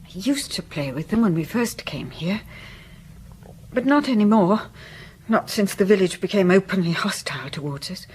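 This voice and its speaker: A woman's voice: medium pitch, animated, moderate pace, darkish tone, slight background noise, close to an everyday voice. A woman playing an anxious, protective wife and mother in a radio drama, speaking formally and hesitantly. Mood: bitter.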